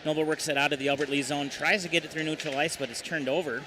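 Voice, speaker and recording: A man calling a hockey game play-by-play, rattling off each pass and shot at a brisk clip. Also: on the high side, background noise. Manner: brisk, rattling off puck movement, excited on goals. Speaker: play-by-play announcer.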